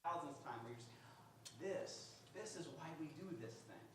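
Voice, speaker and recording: Halting man in a very echoey room. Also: a little high, lively intonation. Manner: halting, loose, conversational phrasing. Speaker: church leader introducing a reading. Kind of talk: informal spoken welcome to congregation. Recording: very echoey room, distant mic, noisy.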